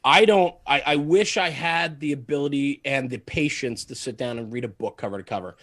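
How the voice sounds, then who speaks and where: A man's voice: mid-range voice, fairly quick, fairly bright tone, no echo, clean studio sound. A man speaking as a podcast guest in casual conversation, earnest and emphatic, repeating phrases to drive a point home. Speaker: podcast guest, fire service media host. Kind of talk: casual podcast interview, passionate monologue.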